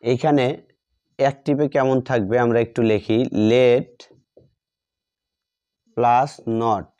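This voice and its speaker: Slow-talking male teacher, mellow voice. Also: mid-range voice, highs cut off. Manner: deliberate, repeats phrases in short fragments. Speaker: English grammar teacher. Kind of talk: step-by-step lesson, dictating while writing.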